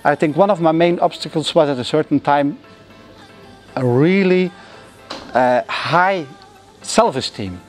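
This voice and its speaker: A middle-aged man from Belgium who speaks with a Flemish accent and has Flemish as his first language, answering interview questions thoughtfully with frequent pauses and non-native phrasing. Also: a little high, soft background music. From Belgium (Flanders). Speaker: interviewee, entrepreneur.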